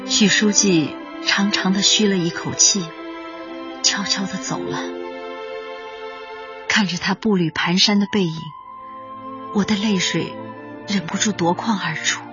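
A woman's voice: mid-range voice, steady tone, measured pace, pauses often, lightly acted. Woman acting young female community worker narrating radio drama, heartfelt n solemn, muffled sound, music behind. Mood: moved, regretful. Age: young adult. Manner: solemn, heartfelt, literary delivery. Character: earnest, emotional young community worker telling the story. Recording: dull band-limited sound under loud music.

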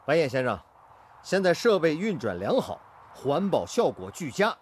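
Earnest factory master, animated delivery. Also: male voice, fairly high pitch, moderate pace, slight background noise. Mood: courteous, confident. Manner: earnest, effortful, sighing interjections. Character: determined, good-natured male factory master technician, proud innovator. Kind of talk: radio drama acting.

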